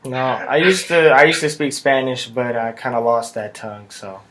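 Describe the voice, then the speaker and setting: A young man, a student co-interviewer, speaking in a relaxed, offhand way. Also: medium pitch, normal speed, low-quality recording. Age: young adult.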